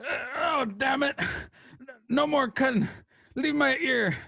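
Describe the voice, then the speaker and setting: Man acting a high, creaky, desperate outlaw. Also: slow pace, sharp tone, no room sound, full character voice. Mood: pained, pleading. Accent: American. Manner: stammering, frantic, whimpering. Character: desperate, pleading Western outlaw boss under torture. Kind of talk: Western radio drama acting.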